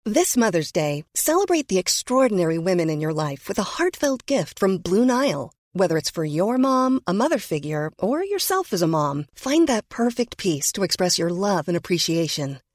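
A woman's voice: fairly low pitch, very animated, normal speed, hardly any pauses, no room sound, studio quality. A woman announcer giving a polished, scripted advertisement read.

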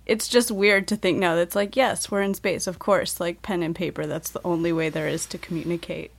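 Woman on a podcast with lots of vocal fry, giggles a lot and trails off. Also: fairly low pitch, fairly even intonation, brisk. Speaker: podcast co-host. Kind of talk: casual chat.

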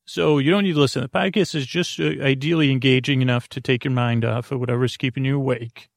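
Man, podcast host, creaky gravelly voice, close dry sound, meandering bedtime monologue, halting and stammering. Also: mid-range voice, fairly even intonation, dry recording. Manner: meandering and digressive, halting with stammers and repeated words.

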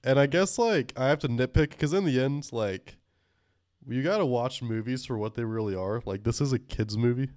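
A man's voice: mid-range voice, normal speed, a little mellow, no room sound, clean studio sound. A man in his twenties co-hosting a podcast, joking in a mock-serious way with many fillers. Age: 20s. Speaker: podcast co-host. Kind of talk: casual film review chat.

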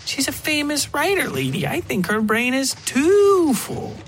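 A man's voice: extremely high pitch, wildly swooping pitch, unhurried, a little hiss or hum, pitched above the performer's own voice, full character voice. A man voicing a little girl, a playful and anxious daughter, in a horror fiction podcast. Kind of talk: horror audio fiction dialogue.

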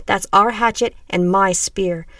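A woman's voice: medium pitch, fairly even intonation, unhurried, fairly bright tone, no room sound, studio quality, distant mic. A woman voicing an edgy, hostile young punk woman with a condescending, sarcastic manner in an audiobook. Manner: condescending, harsh.

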